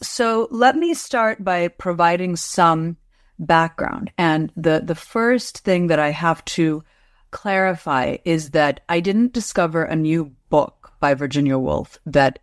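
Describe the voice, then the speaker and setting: A woman's voice: on the low side, no room sound, studio quality. A middle-aged woman, a literature professor, answering interview questions in a careful, explanatory way. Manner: measured, explanatory, careful restarts.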